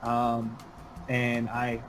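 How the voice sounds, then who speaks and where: A man's voice: medium pitch, monotone, very slow, slight background noise. A man hosting a podcast in casual roundtable talk. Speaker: podcast host.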